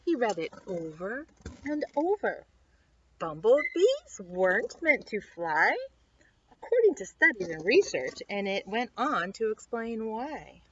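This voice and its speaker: A woman reading a children's picture book aloud expressively, with dramatic, drawn-out emphasis on key words. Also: on the high side, very animated, fairly bright tone, a little hiss or hum. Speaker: story time reader. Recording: dull lo-fi sound.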